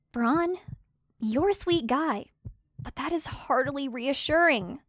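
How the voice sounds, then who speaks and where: A woman acting as a young female fantasy adventurer. Her voice is high and polite, with a reasoning, matter-of-fact manner, recorded dry for an audio drama. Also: expressive, slow, a bit bright, dry recording, full character voice. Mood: reasoning, uneasy. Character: Nyla, level-headed, gently doubting young female adventurer.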